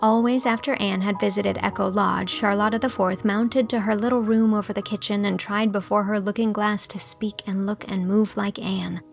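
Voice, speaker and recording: Woman narrating a storybook, slightly creaky voice. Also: medium pitch, fairly even intonation, moderate pace, few pauses, soft background music. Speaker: audiobook narrator.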